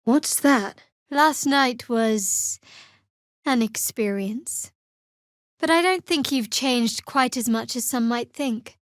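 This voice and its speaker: A guarded, high-voiced, sardonic young cleric. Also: female voice, no room sound. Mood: wry. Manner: dry, measured, wry. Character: guarded, sardonic female cleric of a dark goddess. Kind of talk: fantasy video game voice acting.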